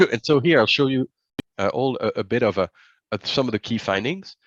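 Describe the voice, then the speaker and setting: A man presenting a report over a webinar, speaking haltingly with many fillers and restarts, on dull video call audio. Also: medium pitch, lively intonation, pushed and bright, no room sound.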